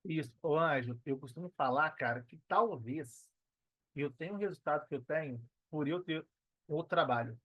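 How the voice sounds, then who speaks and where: Brazilian guy in his 30s, kinda high voice, casual podcast chat with lots of slangy tags, dry muffled-ish sound. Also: moderate pace, no room sound. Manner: conversational, peppered with slang tags seeking agreement. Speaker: podcast guest, personal trainer and affiliate marketer. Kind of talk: casual podcast interview talk.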